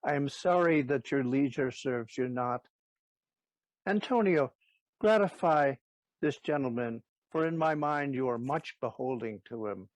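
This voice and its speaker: A man's voice: medium pitch, a little slow, no echo, natural voice, poor audio. A man acting a stern but courteous Duke in a Shakespearean audio play, with commanding, formal delivery.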